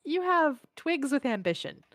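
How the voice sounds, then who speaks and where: A woman's voice: high-pitched, big pitch swings, moderate pace, no room sound, studio quality. A woman from southern Missouri with a mild Midwestern accent, chatting casually and laughing often while streaming a game.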